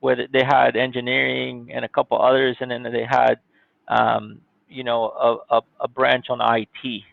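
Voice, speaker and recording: Gravelly man on a phone-like line. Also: mid-range voice, completely flat delivery, normal speed, no room sound. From born in Cuba, grew up in Miami, United States. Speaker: podcast guest, IT company CEO. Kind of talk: conversational interview, telling own story. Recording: thin, phone-like call audio.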